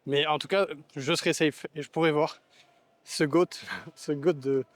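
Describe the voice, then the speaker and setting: A young man vlogging on the go, excitable and rambling with lots of fillers. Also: on the high side, measured pace, no echo. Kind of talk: casual on-the-go vlog. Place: outdoors and in a camper van.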